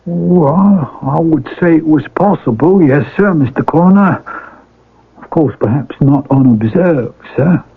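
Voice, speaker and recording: An actor playing a respectful, helpful railwayman in a radio mystery drama, hesitant and folksy, with a husky voice. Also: on the high side, measured pace, heavily acted. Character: deferential, helpful working railwayman, eager to oblige. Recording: lo-fi old radio broadcast recording.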